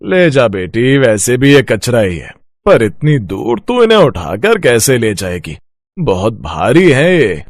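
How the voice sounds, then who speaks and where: A man's voice: mid-range voice, very animated, unhurried, no room sound, studio quality. A man voicing a kindly working man with a friendly, advising manner in a cartoon moral story. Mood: kindly, concerned.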